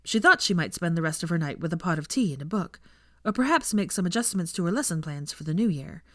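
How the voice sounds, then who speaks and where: A woman reading fan fiction aloud as scripted, expressive story narration. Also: lowish voice, fast, no echo, clean studio sound.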